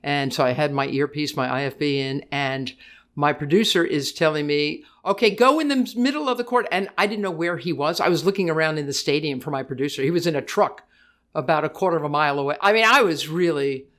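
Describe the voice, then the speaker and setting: An older woman with a deep voice, a guest in a casual interview, talking fast in bursts, often restarting her sentences and laughing easily. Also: fairly bright tone. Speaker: guest, tennis broadcaster.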